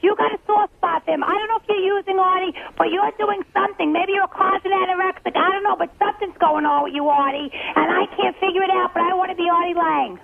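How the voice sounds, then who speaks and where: A woman's voice: very high-pitched, fast talker, vocal fry, hardly any pauses, crowd noise. A woman calling in to a radio show, speaking bluntly and emphatically. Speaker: radio call-in listener. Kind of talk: blunt, emphatic call-in chat. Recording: tinny phone line, crowded sound.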